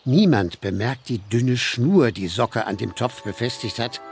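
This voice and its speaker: A man narrating a children's story from a script in an expressive, lively way with dramatic pauses. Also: mid-range voice, husky, faint music behind.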